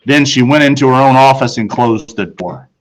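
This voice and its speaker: A man who is a teacher, narrating a children's book aloud with expressive storytelling. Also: medium pitch, normal speed, low-quality recording.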